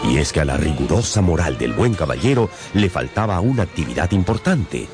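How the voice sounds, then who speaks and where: A man's voice: sits low, big pitch swings, a little fast. A man narrating a literary radio novel in a theatrical storytelling manner. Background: music.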